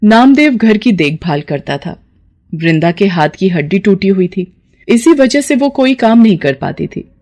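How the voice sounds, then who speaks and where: A woman's voice: mid-range voice, big pitch swings, unhurried, darkish tone. A woman narrating a scripted moral story as a storyteller.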